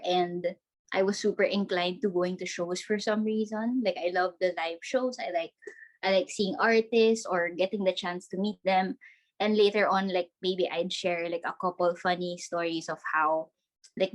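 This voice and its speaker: A young woman from the Philippines chats as a guest over a laptop video call from her bedroom. Her voice sits a little low for a woman. She talks in a bubbly way, laughing often and scattering "like" through her sentences. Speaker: podcast guest. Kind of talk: casual video-call interview.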